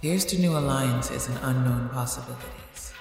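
A storyteller voicing a menacing, teasing male stranger who speaks in a hushed, controlled way in an audio horror story. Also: medium pitch, normal speed, vocal fry, heavy reverb, in a large hall, soft background music, close to an everyday voice. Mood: quietly menacing.

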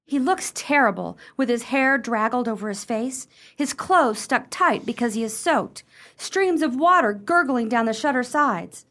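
Woman playing a lively, high-voiced wry sister. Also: unhurried. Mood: excited lookout. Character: watchful, wry sister of a romantic heroine. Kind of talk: comic period radio play acting.

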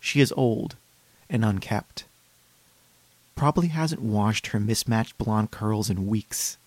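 A man voicing a fussy, polite, unsettled psychiatric patient in a measured reading of a first-person horror story. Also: fairly low pitch, no room sound. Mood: uneasy, disdainful.

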